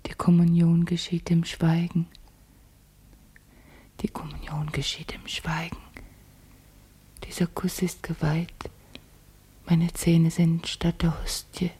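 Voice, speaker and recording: A woman's voice: on the low side, completely flat delivery, slow pace, long pauses, dark tone, breathy edge, heavily acted. An actress playing an elusive, solemn young woman in a literary radio drama, intense and often whispering.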